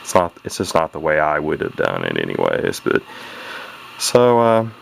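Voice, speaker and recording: A man casually talking through a radio repair at his workbench, rambling and halting, with many restarts and folksy turns of phrase. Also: very deep voice, huge pitch swings, vocal fry, slight background noise. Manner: plain-spoken folksy grammar. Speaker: radio hobbyist showing a repair. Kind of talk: casual off-the-cuff repair commentary.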